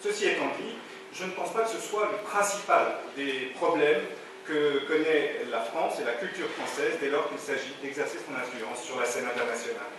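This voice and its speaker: A man interprets a debate speaker's words in long, fluent sentences. He sounds distant, in a very reverberant hall with clear noise behind him. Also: mid-range voice, normal speed. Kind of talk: consecutive interpretation. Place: conference hall. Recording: echoey hall from far off, background noise.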